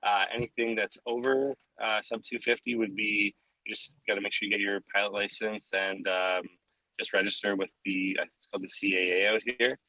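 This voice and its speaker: A man's voice: lowish voice, level tone, fairly quick, bright, forceful tone, no echo, poor audio. An easygoing man chatting casually about flying drones, with lots of fillers. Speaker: drone pilot guest. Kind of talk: casual chat.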